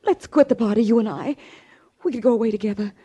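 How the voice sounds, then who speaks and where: A woman's voice: a little high, quick pace, mellow, breathy edge. An actress playing an anxious, earnest female party comrade in an emotional 1950s radio drama performance. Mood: weary, urgent. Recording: old radio recording, faint crowd noise.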